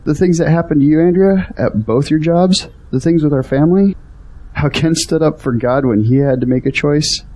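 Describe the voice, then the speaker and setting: Man acting devout hopeful son, audio drama, husky, mellow dark tone, earnest delivery. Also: a little high, normal speed, natural voice. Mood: earnest, marvelling.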